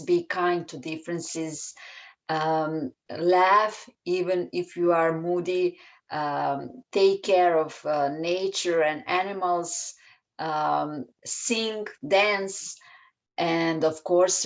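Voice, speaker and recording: A woman with a Serbian accent, a children's book author answering interview questions in a hesitant way, pausing often with filler sounds. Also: lowish voice.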